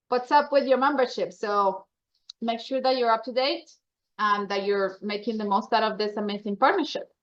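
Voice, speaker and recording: Dull lo-fi audio, woman, Colombian accent, mid-range voice, chatty upbeat presenter, informal member announcements. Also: medium pitch.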